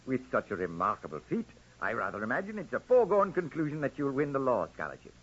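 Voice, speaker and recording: A man's voice: mid-range voice, huge pitch swings, fast, breathy edge. A man playing a dignified, kindly older judge with formal, courtly speech in 1940s radio acting style. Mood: dignified, impressed. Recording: muffled 1940s radio recording.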